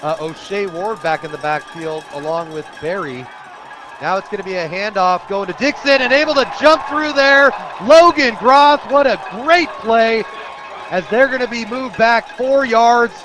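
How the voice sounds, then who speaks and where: High-voiced American man calling football over crowd. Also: normal speed. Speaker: play-by-play announcer. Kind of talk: live football radio play-by-play commentary. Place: outdoor high school football stadium. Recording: loud crowd behind, outdoor stadium broadcast. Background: crowd noise.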